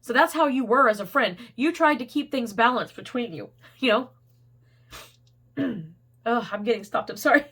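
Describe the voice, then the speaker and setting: A woman's voice: animated, moderate pace, a bit bright, slightly rough. A woman giving a casual, rambling tarot reading, laughing often and losing her voice to a cold. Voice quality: getting squeaky. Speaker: tarot reader.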